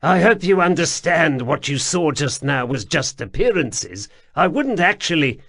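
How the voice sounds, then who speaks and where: A man voicing an excitable, wheedling grimy male cave-dweller who talks loudly and pleads. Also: fairly high pitch, unhurried, gravelly, voice pushed up, full character voice. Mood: pleading.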